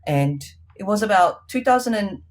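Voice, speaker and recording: A woman with a New Zealand accent, the guest in a casual interview, talking with many hesitant ums and lively rises in pitch. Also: moderate pace.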